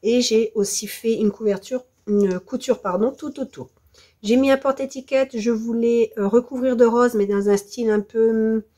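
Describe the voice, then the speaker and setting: A French woman with a hoarse voice from the flu, presenting a scrapbooking tutorial in a hesitant, apologetic way. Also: a little high, dark tone. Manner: hesitant, apologetic, self-deprecating. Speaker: scrapbooking video host.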